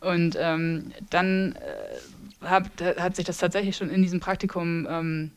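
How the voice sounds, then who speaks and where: Eine Frau aus Norddeutschland um die dreißig, eine Bibliothekarin, die locker und mit vielen Füllwörtern im Podcast erzählt. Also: female voice, fairly low pitch, big pitch swings, unhurried, creaky. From northern Germany. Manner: hesitant, many fillers. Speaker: librarian. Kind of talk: casual podcast chat.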